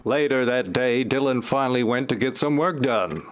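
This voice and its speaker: A man giving deadpan scripted narration for a parody audio drama. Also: mid-range voice, normal speed, slight background noise. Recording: prominent music under the voice. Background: music.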